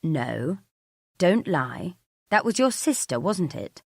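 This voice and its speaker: A woman's voice: medium pitch, lively intonation, measured pace, darkish tone, dry recording, studio quality, pitched above the performer's own voice. Woman acting stern, exasperated husband. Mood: furious. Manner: firm, clipped, confronting. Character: stern, exasperated husband holding back his anger.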